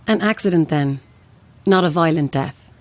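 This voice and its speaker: A woman's voice: low-pitched, moderate pace, close to an everyday voice. An actress playing a bereaved mother who believes in ghosts, blunt and insistent, in a naturalistic audio drama.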